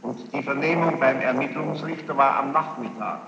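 A man questioning a witness as a presiding judge, formal and probing, choosing his words deliberately with pointed challenges. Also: mid-range voice, creaky. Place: large reverberant courtroom. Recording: muffled, noisy archival courtroom tape, echoing hall.

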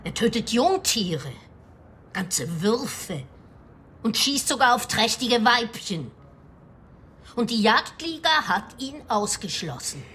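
An actress playing a grave, anxious middle-aged widow from the provinces in a radio crime drama, speaking hesitantly and with foreboding. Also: female voice, on the high side, big pitch swings, takes it slowly, long pauses, pushed and bright, full character voice. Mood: ominous, hesitant.

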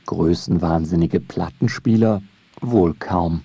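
A man's voice: deep voice, slow pace, dark tone, breathy edge, dry recording. A man reading scripted documentary narration for a radio feature in a wry, ironic manner. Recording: music behind the voice. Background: music.

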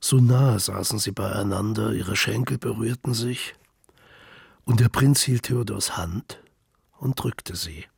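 Creaky-voiced man narrating a literary radio play. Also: lowish voice, slow, no echo, studio quality.

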